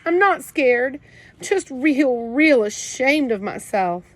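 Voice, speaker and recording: A heartbroken young boy , as a woman reader puts him on, cries through every line, his voice pitched high and choked. His words tumble out pleading and trembling. It is read as a storybook audiobook. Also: animated, normal speed. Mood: tearful pleading. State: crying. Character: tearful ten-year-old boy, sensitive and ashamed.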